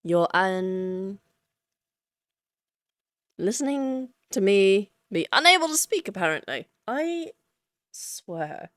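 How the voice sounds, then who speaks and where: A woman audio roleplay performer talks casually to her listeners. Her medium-pitched voice is recorded dry, with little room sound. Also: sing-song, takes it slowly, pauses often, a bit bright, dry recording.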